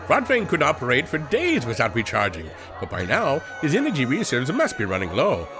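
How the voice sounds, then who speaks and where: An actor playing a fussy, earnest inventor in a pulp radio adventure. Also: male voice, mid-range voice, wildly swooping pitch, brisk, music in the background. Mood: hesitant, technical.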